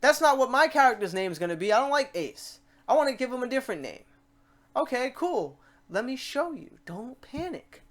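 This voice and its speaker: A woman hosting a casual software tutorial, talking through each step aloud in an informal, playful way. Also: high-pitched, sing-song, moderate pace. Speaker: tutorial host.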